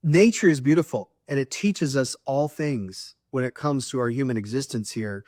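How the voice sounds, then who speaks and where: Man, spiritual co-host, earnest and preachy, mid pitch, dry close sound. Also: a little mellow, dry recording. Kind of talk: spiritual talk.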